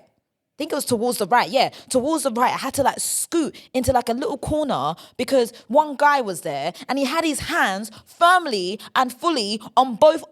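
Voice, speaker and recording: A woman's voice: fairly high pitch, a bit bright. A young woman, hosting a solo podcast and complaining in a chatty way. She ends almost every line with a tag question. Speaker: podcast host.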